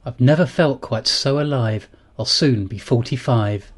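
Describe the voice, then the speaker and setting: A man's voice: medium pitch, measured pace. Dry man in his forties. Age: 40s. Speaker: guest poet. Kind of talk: reading comic rhyming poems.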